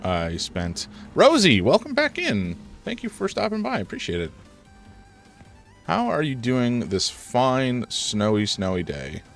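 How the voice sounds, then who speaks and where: A man live-streaming a horror game, rambling through his commentary, chatting with viewers, and reacting loudly to scares, a little congested. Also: mid-range voice, huge pitch swings, a little slow, vocal fry, faint music behind. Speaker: gaming streamer.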